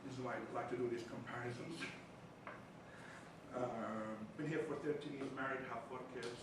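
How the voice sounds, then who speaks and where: A man's voice: medium pitch, moderate pace. Arabic-accented man lecturing in an echoey room. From Palestine. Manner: jokey, teasing asides between explanations. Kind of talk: seminar lecture. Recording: echoey room, distant mic, background noise.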